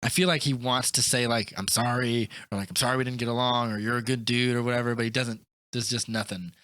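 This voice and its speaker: A man hosts a casual film podcast in a medium-pitched voice. He riffs freely and states his opinions firmly. The sound is dry, with hardly any room on it. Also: steady tone, fast, fairly bright tone, no room sound. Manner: opinionated, riffing. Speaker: podcast host. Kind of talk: casual movie discussion.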